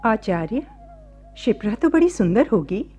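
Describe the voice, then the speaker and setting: An actress playing a gentle, devout, wondering young nun in a Hindi radio drama. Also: female voice, mid-range voice, big pitch swings, measured pace. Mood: wistful. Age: young adult. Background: music.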